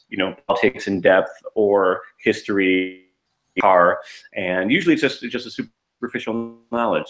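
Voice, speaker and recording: Rambling man, lowish voice. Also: a bit bright, rough recording. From United States. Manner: rambling, many restarts and fillers. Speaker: podcast co-host. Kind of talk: casual chat.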